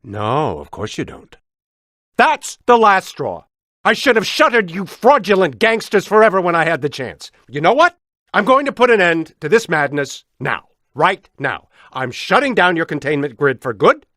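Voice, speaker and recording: Game role: vindictive, pompous bureaucrat. High, animated voice, outraged and blustering. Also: male voice, moderate pace, dry recording, clean studio sound. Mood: indignant, threatening. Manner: indignant, blustering, emphatic. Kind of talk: video game voice acting.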